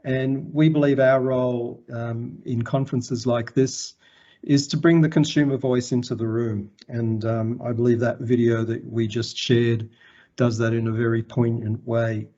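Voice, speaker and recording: An Australian man giving a formal conference presentation in a measured, halting way. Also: medium pitch, little pitch movement, mellow, lo-fi sound. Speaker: conference presenter, health organisation chief executive.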